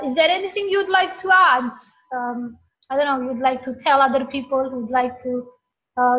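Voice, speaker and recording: A woman hosting an interview, asking rambling questions in a chatty way with many fillers. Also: sits high. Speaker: interview host. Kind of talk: casual interview chat. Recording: muffled lo-fi call audio.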